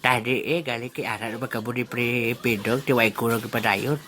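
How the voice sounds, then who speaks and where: A woman voicing a lovelorn, resigned man with halting speech in a comic audio drama, on a noisy recording. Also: very deep voice, steady tone. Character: low-voiced, lovelorn man resigned to losing his courtship. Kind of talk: comedic audio drama dialogue.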